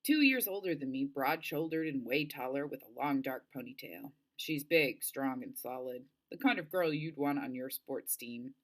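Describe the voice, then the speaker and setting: A woman reading a novel aloud as narration, with expressive, dramatic storytelling. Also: rumbling low, normal speed, hardly any pauses. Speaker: audiobook-style reader.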